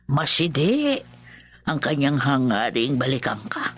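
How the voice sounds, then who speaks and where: An actress playing a grave, knowing village folk healer in a horror radio drama, speaking with ominous emphasis. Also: female voice, a bass voice, sing-song, unhurried, exaggerated voice. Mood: ominous warning.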